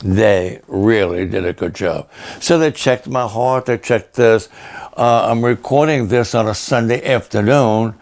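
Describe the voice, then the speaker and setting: An older male pastor with a raspy, hoarse voice, talking plainly and haltingly to his supporters. He often pauses and repeats himself. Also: on the low side, a little mellow. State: hoarse after illness and a long program. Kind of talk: slow personal update to supporters.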